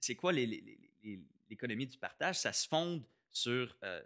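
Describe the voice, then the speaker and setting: A man's voice: medium pitch, expressive, moderate pace, sharp tone, no room sound, clean studio sound. A man with a Québécois French accent explaining policy in a casual discussion, repeating words as he thinks and mixing in English terms. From Quebec, Canada.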